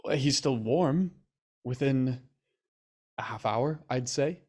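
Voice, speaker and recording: A man playing a measured, cautious doctor in a radio murder mystery, clipped and matter-of-fact. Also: medium pitch, darkish tone. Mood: grave, clinical.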